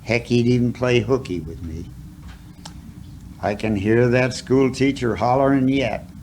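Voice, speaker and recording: Slow-talking man reciting cowboy poetry. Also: medium pitch, darkish tone, slight background noise. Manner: folksy, drawn-out phrasing with rustic dialect. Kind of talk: dramatic storytelling recitation of a cowboy poem.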